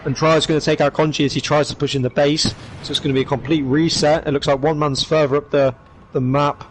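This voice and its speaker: Hoarse man calling an esports match. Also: fairly high pitch, slight background noise. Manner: rapid excited calls with rising bursts. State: hoarse, losing his voice. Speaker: esports commentator. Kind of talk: play-by-play commentary.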